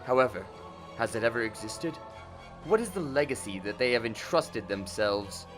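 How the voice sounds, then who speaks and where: A male narrator reads a scripted dramatic story at a middle pitch. Faint music plays underneath his voice. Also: animated, moderate pace.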